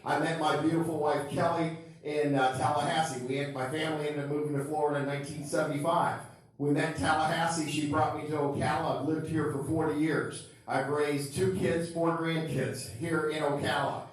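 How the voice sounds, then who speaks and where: A man's voice: fairly high pitch, little pitch movement, fairly quick. A man in his fifties, born in Cuba, giving an earnest, conversational campaign introduction at a forum. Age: 50s. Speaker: political candidate. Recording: echoey hall, distant mic.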